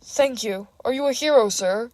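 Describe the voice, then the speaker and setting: A woman's voice: fairly high pitch, moderate pace, a little mellow, no room sound, lightly acted. A sheepish father, played by a woman reading fiction, hesitant and apologetic. The recording is close and dry. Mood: grateful. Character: sheepish, grateful father, an adult man.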